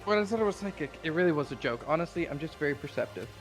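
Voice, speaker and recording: A man's voice: a little high, lively intonation, rapid-fire, over music. A man chatting and reading lines casually during a group video game session. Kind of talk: casual chat and game read-aloud.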